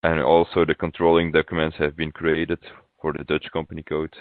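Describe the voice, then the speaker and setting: Very deep-voiced man on a thin phone-like line. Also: monotone, no echo. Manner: halting, pausing mid-phrase. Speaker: software consultant presenting a webinar demo. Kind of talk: instructional software walkthrough. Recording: thin, phone-like webinar audio.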